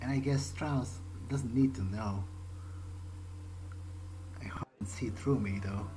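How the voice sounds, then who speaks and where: A man's voice: lowish voice, unhurried, over music, poor audio. A man improvising in character during a video game, hesitant and stammering, often repeating words, with game ambience behind him. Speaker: gaming video host playing in character.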